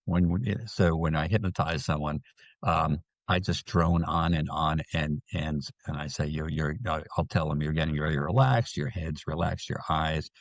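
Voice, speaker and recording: A man, a hypnotherapist guest, explaining in a slow way with many restarts and fillers. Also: extremely low pitch, steady tone, no room sound, dull audio. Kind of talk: explanatory interview talk.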